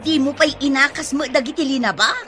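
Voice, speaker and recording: A woman's voice: high-pitched, a little fast, over music, natural voice. A woman acting a nagging, worried village wife and mother in an Ilocano radio comedy-drama, scolding in an exasperated way with an Ilocano accent.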